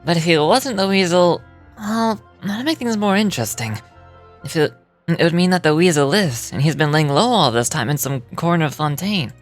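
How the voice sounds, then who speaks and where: A woman voicing a confident, secretive young male stage magician from a video game story, with a smooth, teasing, theatrical delivery. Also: fairly low pitch, lively intonation, moderate pace, soft background music, voice pushed down, natural voice. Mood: calm, scheming.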